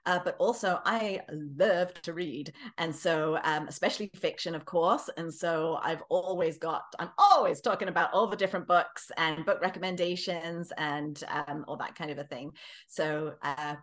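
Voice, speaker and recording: A woman's voice: low voice, moderate pace, fairly bright tone. A middle-aged woman with a British accent, a guest author chatting on a podcast interview, laughing often and searching for words.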